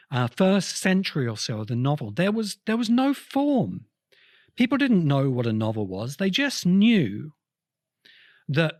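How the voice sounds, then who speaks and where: A man hosting a podcast, delivering an explanatory cultural history monologue with emphatic, halting phrasing. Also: on the high side, a little mellow, no room sound, studio quality. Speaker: podcast host.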